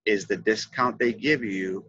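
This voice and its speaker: A middle-aged man speaks as a trainer explaining a lesson, in a fairly low but forceful voice. He enunciates key words deliberately and with emphasis. The sound is dry and slightly lo-fi, as from a headset indoors. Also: breathy edge, no echo. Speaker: teacher trainer presenting a lesson. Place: indoors, webcam presentation.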